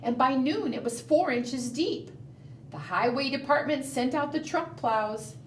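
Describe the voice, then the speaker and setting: A woman's voice: sits high, unhurried. A woman leading a preschool storytime, reading aloud and doing fingerplay in a sing-song manner, often putting questions to young children. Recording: roomy, distant mic, faint background noise.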